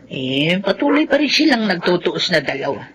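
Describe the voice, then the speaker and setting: A woman's voice: lowish voice, sing-song, normal speed, dull audio, background noise. An actress with a Filipino accent playing an anxious, pleading grandmother in a radio horror drama, fretful and emotional. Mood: patient, uneasy.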